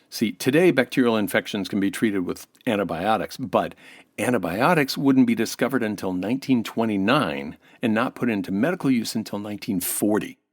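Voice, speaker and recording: A man's voice: on the low side, dry recording, clean studio sound. An American man as an interview guest, giving an affable, informative explanation in a semi-scripted style.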